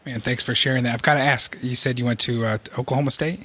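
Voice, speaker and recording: A man's voice: medium pitch, fairly even intonation, fast, sharp tone, husky, dry recording. A man hosting a podcast, asking questions in an enthusiastic, encouraging casual manner. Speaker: podcast host. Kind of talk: casual interview talk.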